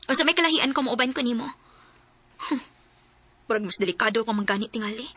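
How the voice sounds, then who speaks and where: A woman's voice: a little high, expressive, normal speed, sharp tone, no room sound. A woman playing a hesitant, forgiving young woman in love in an emotive radio drama, with a Cebuano accent. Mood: guarded, tired. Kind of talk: Philippine radio drama acting.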